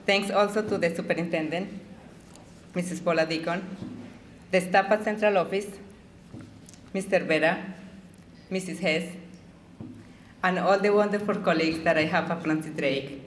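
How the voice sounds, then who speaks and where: A woman's voice: on the low side, fairly even intonation, moderate pace, pauses often, a little hiss or hum. Woman speaking in an echoey hall. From Colombia. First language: Spanish. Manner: gentle, with playful jokes. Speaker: award honoree giving acceptance speech. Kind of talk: prepared acceptance speech.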